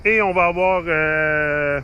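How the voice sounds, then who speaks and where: Rambling Québécois man, bright voice. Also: a little high, takes it slowly, clear, resonant tone. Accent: Québécois French. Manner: rambling, chatty, frequent fillers and restarts, mixes in English phrases. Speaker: YouTuber. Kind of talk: rambling casual monologue to viewers.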